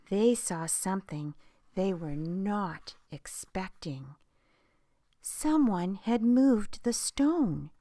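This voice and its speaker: Woman reading aloud, children's Bible story, slow, expressive, dry close sound. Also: mid-range voice, no room sound. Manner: expressive storytelling, hushed whispers at dramatic moments.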